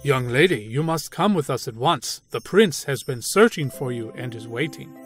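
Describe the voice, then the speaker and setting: A man's voice: mid-range voice, animated, normal speed, faint music behind, close to an everyday voice. A man playing a courtly royal figure in a children's fairy tale, formal and stately. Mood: commanding.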